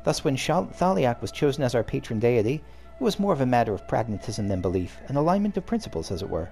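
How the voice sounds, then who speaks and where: A man reading aloud the lines of a calm, learned young female scholar guide from a game, in a measured, formal way. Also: mid-range voice, gravelly, soft background music.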